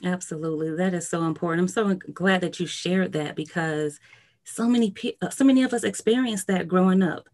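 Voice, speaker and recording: A woman hosts a podcast and talks with her guest in a relaxed, conversational way. She answers in an affirming, encouraging manner, at a slightly low pitch. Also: brisk. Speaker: podcast host. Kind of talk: casual interview conversation.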